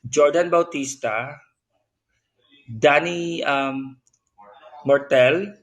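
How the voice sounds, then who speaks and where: A man in his thirties with a Filipino accent, a guest telling his story in a casual interview, chatty and animated with lots of fillers. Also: on the high side, drawn-out words, rough recording. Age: 30s. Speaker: guest, business owner. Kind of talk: casual interview talk mixing English and Tagalog.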